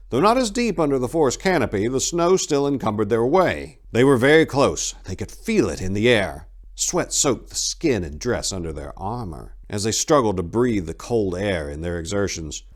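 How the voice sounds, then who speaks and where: A man giving a theatrical, suspenseful dramatic reading of a dark fantasy story as an audiobook narrator. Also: low voice.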